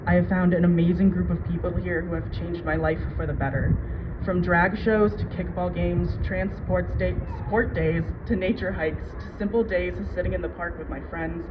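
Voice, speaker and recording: High-voiced man giving an earnest speech. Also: fairly even intonation, normal speed, hardly any pauses. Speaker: community speaker at a ceremony. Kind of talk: prepared heartfelt public speech. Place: outdoors at city hall. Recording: dull outdoor event audio with loud noise.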